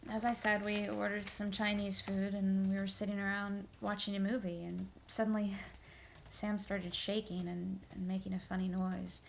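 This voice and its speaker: A woman's voice: medium pitch, little pitch movement, moderate pace, gravelly, few pauses, lightly acted. A woman playing an earnest witness in an audio drama, speaking hesitantly and conversationally as she recounts events to an investigator. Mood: candid, troubled. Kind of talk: audio drama interview testimony. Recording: faint background noise.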